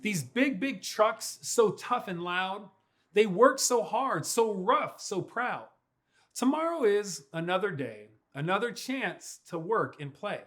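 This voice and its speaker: A young man reads a picture book to children. His voice sits high for a man and swings widely in pitch. He takes his time, stretching words out in a playful, expressive style. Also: takes it slowly. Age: young adult. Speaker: pastor reading to children. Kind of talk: animated children's storybook read-aloud. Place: small furnished room indoors.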